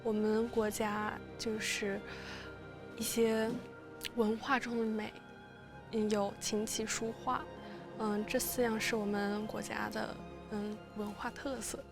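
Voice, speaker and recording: A 21-year-old woman from China in a documentary interview, speaking thoughtfully with many pauses. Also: medium pitch, little pitch movement, vocal fry, music in the background.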